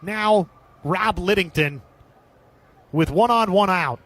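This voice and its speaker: A man's voice: high-pitched, takes it slowly, fairly bright tone. A man calling a baseball game as a radio play-by-play announcer, describing the action in clipped phrases. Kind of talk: live radio sports commentary.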